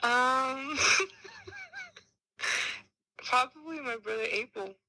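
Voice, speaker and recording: A woman's voice: high voice, takes it slowly, sharp tone, no room sound. A woman answering questions in a casual chat as a guest on a phone call. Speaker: called guest.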